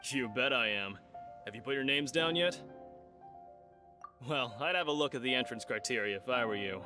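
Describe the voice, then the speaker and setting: A man's voice: mid-range voice, normal speed, lightly acted. A man playing a cocky, self-important brawler who brags, as dialogue in a video game. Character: boastful, cocky adult male brawler. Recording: faint music behind the voice.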